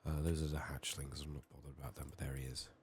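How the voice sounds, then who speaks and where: An extremely low-pitched man speaks softly, close to the mic, as he plays a video game in ASMR style. He mutters short, unhurried remarks to himself, at times in a whisper. A light layer of background noise runs underneath. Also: flat delivery. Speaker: video game player and streamer. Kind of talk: soft-spoken ASMR game commentary, partly whispered.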